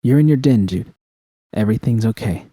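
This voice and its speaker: A man's voice: fairly low pitch, animated, brisk, mellow, breathy edge, no echo, clean studio sound. A man voicing a teasing, reassuring woman with a coaxing, seductive manner in an audiobook story. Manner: coaxing, purring.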